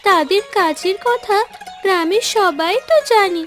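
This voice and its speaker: Lady doing sweet young cartoon village woman, really high childlike pitch, earnest, reassuring. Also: unhurried, fairly bright tone, faint music behind. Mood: tender. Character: gentle loyal young village woman in love.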